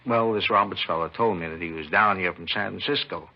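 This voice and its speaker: An actor playing an agitated elderly diamond dealer who has been robbed, rambling and repeating himself in a 1950s radio drama. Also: male voice, low voice, a little fast, creaky. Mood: bitter, regretful. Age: older. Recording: dull 1950s radio recording.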